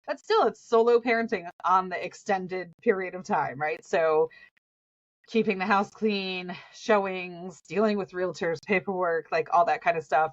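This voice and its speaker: A woman in her forties with a Southern US accent talks as a podcast co-host, a little sniffly from allergies. She rambles cheerfully and laughs often. Her recording sounds a bit dull and lo-fi. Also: lowish voice. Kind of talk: casual podcast chat.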